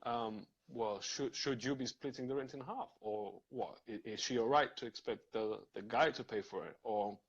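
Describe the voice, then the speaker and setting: A man's voice: medium pitch, moderate pace, few pauses, husky, dull audio. A man from Serbia, talking things through thoughtfully in a casual live video chat, with many fillers and restarts.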